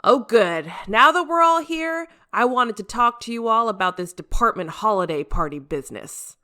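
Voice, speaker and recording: A woman voicing a gruff, irritable male fire station captain in an audiobook, with a loud, emphatic, bossy delivery. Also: a little high, lively intonation, moderate pace, pitched above the performer's own voice. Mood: serious.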